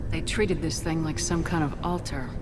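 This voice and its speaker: A woman's voice: low voice, fairly even intonation, normal speed, close to an everyday voice. A woman playing a decisive military commander in a video game, speaking firmly and directly. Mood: grave. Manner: firm, direct. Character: resolute female military commander, firm and decisive. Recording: game music behind.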